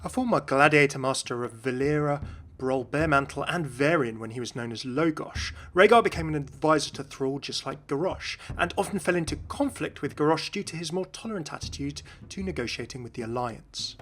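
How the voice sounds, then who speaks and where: A man's voice: fairly high pitch, fairly quick, fairly bright tone. A man giving explanatory commentary as the host of a gaming video. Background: music.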